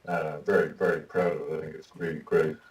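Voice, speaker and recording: A man's voice: a bass voice, little pitch movement, fast talker, roomy sound. A man from Ireland, a musician guest speaking in a modest, easygoing way.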